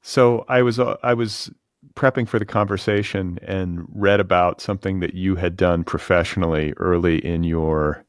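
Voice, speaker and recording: An American man hosting an interview, speaking casually in a measured, reflective way with tentative phrasing. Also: low voice, fairly even intonation, clear, resonant tone, dry recording, studio quality. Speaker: podcast host, interviewer.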